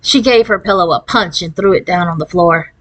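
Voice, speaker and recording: A woman's voice: fairly low pitch, fairly quick, a bit bright, rough recording. Woman reading a children's novel aloud. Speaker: storybook reader. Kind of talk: scripted narration, children's novel read aloud in first person.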